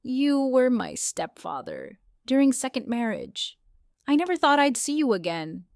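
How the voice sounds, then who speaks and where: A woman's voice: high-pitched, wildly swooping pitch, measured pace, a little mellow, no room sound, clean studio sound, exaggerated voice. A woman playing an earnest, emotional adult stepdaughter in an audio story. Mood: tearful. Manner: trembling, earnest. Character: emotional woman meeting her former stepfather.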